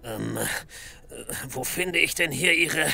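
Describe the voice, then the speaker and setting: An actor playing a pompous, flustered police captain in a comedy audio drama, halting and strained, with a gravelly voice. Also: male voice, a little high, animated, pushed and bright, faint music behind, heavily acted. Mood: contemptuous, flustered. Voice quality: gravelly, creaky.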